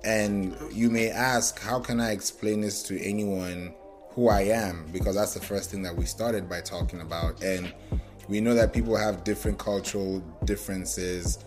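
A man hosting a casual interview, warmly affirming his guest and often voicing agreement before asking his next question. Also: on the low side, steady tone, normal speed, faint music behind. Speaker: interview host.

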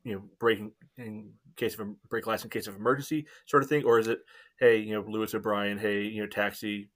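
A man co-hosting a casual sports chat in a halting way, with frequent filler phrases. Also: fairly low pitch, steady tone, fairly bright tone. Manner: halting, peppers talk with "you know". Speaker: podcast co-host.

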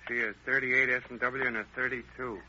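A man's voice: mid-range voice, level tone, fairly quick, lightly acted. An actor playing a wary, wisecracking small-time burglar with slangy, terse tough-guy talk in an old radio crime drama. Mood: gruff, wary. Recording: dull, noisy old radio recording.

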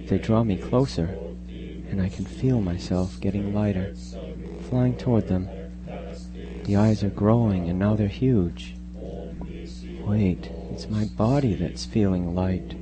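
A man's voice: on the low side, poor audio. A man playing a doubting, bewildered young man in a radio horror drama, earnest and wondering.

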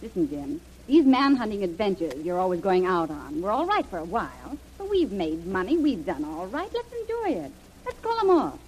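An actress playing a spirited, teasing adventurous companion in an old-time radio serial. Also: female voice, mid-range voice, huge pitch swings, normal speed, darkish tone, poor audio. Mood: pleading. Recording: hissy, sizzling vintage radio recording.

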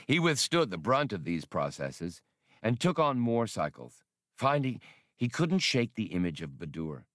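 A man narrates scripted fiction as an audiobook reader. His voice sits on the low side, and the recording is dry with no audible room. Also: no room sound.

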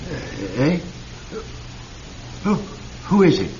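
A frail, ailing lord in an old radio play. He speaks very slowly, dazed and faltering. The recording is dull with light hiss. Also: a little high, sing-song, a little mellow, exaggerated voice. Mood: dazed, fearful. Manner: faltering, hesitant, slow. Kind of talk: classic radio drama acting.

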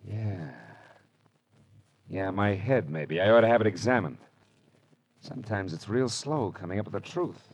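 An actor playing a wry, hard-boiled private detective in 1940s radio drama style, slangy and dry. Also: male voice, on the low side, animated, unhurried, long pauses, husky. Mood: wry, urgent. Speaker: lead actor and narrator.

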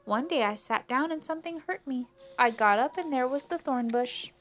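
A woman's voice: high voice, expressive, moderate pace, fairly bright tone, pitched above the performer's own voice. A woman voicing a fretful, sentimental old lady from a storybook, plaintive and exclamatory. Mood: tearful, fretful.

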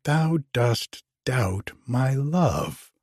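Man reading as a proud, slow-spoken young maiden. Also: medium pitch, animated, darkish tone, no echo, studio quality. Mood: teasing. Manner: archaic, questioning, theatrical.

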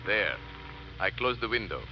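An actor playing a commanding criminal plotter on a taped phone call in a classic radio drama, speaking tersely. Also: male voice, on the low side, animated, normal speed. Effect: played-back tape of phone call. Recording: dull, noisy, distant tape playback.

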